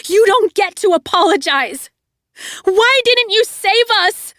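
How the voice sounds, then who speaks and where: A woman's voice: high voice, moderate pace, darkish tone, no echo, clean studio sound, voice pushed up, lightly acted. Woman voicing a sobbing, furious young woman. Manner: shrieking through tears. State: crying.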